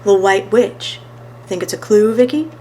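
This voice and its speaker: A woman's voice: mid-range voice, lively intonation, unhurried, warm tone, a little hiss or hum, lightly acted. Woman acting an observant boy sidekick. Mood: confiding. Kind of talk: children's storybook character voice.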